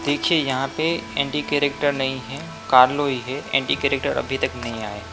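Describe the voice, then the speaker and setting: A man's voice: mid-range voice, flat delivery, fairly quick, gravelly. A man with an Indian accent hosting a gaming channel, giving commentary over game footage. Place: voice-over on game screen capture. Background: background music.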